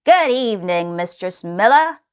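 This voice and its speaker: A woman's voice: mid-range voice, very animated, extremely slow, clear, resonant tone. A woman voicing a tiny magical little man from a storybook, formal, probing and bargaining. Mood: courteous, curious.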